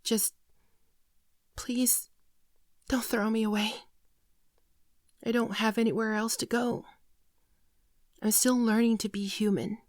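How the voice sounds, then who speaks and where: A woman playing a frightened, apologetic shapeshifting alien posing as a human girlfriend in an intimate ASMR roleplay, halting and tearfully pleading, often dropping to a whisper. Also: fairly high pitch, little pitch movement, no room sound, clean studio sound. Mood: desperate, pleading.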